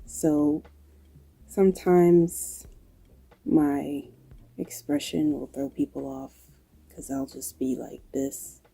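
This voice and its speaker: A woman with a New York accent and occasional Southern touches, talking casually to camera in a low-key, dry, unhurried way, answering questions. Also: fairly even intonation, vocal fry, dark tone, slightly rough, rough recording. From New York, United States. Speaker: video creator and graphic designer.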